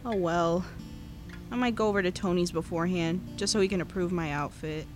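A woman playing an anxious, chatty American high school girl who rambles nervously into an audio diary in a podcast drama. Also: a little fast, over music, lightly acted. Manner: nervous, rambling, self-deprecating.

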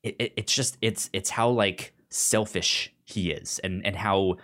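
Low-pitched man in halting casual chat. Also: fairly even intonation, fairly bright tone. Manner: halting, repeats words, rambling. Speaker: podcast co-host reviewing a TV drama.

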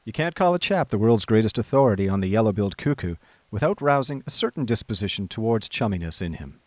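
An actor playing an idle, chummy young upper-class gentleman, narrating a radio drama in breezy, wordy old-fashioned slang. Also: lowish voice, lively intonation, fast, no echo, natural voice. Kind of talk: scripted first-person radio drama narration. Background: music.

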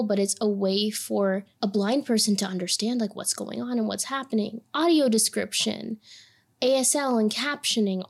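Woman from Puerto Rico, native Spanish speaker, passionate podcast guest with a mid-pitched voice. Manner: earnest, passionate, animated. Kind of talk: podcast conversation.

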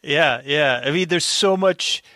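A man with a bright, somewhat high voice chats as a podcast guest. He rambles enthusiastically, acting out his own reactions. The sound is close and dry. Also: a little slow, dry recording. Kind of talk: casual recap podcast chat.

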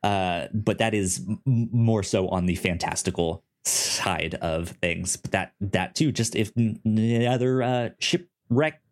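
A man co-hosting a casual review podcast, talking informally and haltingly, often stuttering and repeating syllables. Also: fairly low pitch. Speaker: podcast co-host. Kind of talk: casual review show chat.

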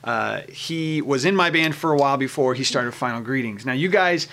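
A man's voice: medium pitch, moderate pace, gravelly, fairly bright tone. A man with an American accent presenting and interviewing as a show host in an informal, enthusiastic, conversational way.

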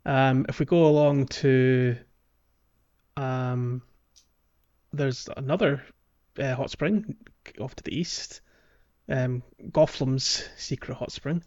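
A man's voice: mid-range voice, steady tone, takes it slowly, a little mellow, muffled recording. A man co-hosting a casual podcast, explaining a video game in a hesitant, piece-by-piece way. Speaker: podcast co-host. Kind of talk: casual game walkthrough chat. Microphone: podcast voice recording.

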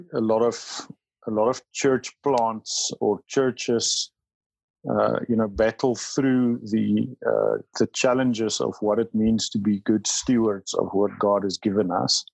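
Afrikaans-speaking South African man, low voice. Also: little pitch movement, slow pace, vocal fry, darkish tone, slightly rough, no room sound, rough recording. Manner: thoughtful, speaks in bursts with frequent fillers and restarts. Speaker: church leader. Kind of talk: interview conversation.

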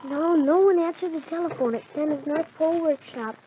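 Woman as a friendly kids serial narrator, high voice, old radio, tinny with a bit of hiss. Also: fairly quick, close to an everyday voice. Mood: storytelling. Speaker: storyteller. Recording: tinny, faintly noisy old radio recording.

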